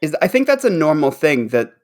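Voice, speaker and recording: A man co-hosting a podcast, talking casually and enthusiastically, often halting and repeating words. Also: on the high side, big pitch swings, darkish tone. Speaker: podcast host. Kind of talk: casual chatty discussion.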